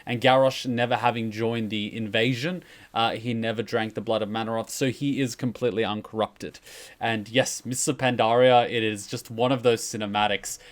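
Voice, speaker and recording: A man's voice: on the low side, steady tone, normal speed. A man streaming casual, conversational storytelling commentary, explaining game lore in a jokey way.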